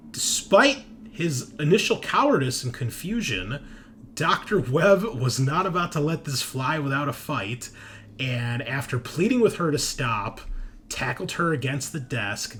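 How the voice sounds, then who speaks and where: A man's voice: mid-range voice, sing-song, a little slow, fairly bright tone, slight background noise. A man speaking as game master, recapping a tabletop roleplaying session in informal storytelling with dramatic emphasis. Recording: music bed under the voice. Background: background music.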